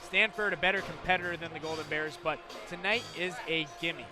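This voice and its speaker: A man's voice: on the high side, brisk, over music. An American man giving conversational, opinionated color commentary on a live basketball broadcast. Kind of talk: live sports analysis.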